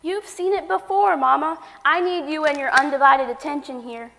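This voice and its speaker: A woman's voice: high voice, moderate pace, creaky, a bit bright. A woman playing a devoted, earnest grown daughter with a dramatic streak, delivered theatrically for a stage comedy. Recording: echoey hall with long reverb.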